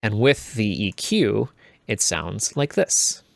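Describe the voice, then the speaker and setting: A man presenting a casual tutorial as a game sound designer, explaining things in a measured way. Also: on the low side, sing-song, vocal fry, dry recording.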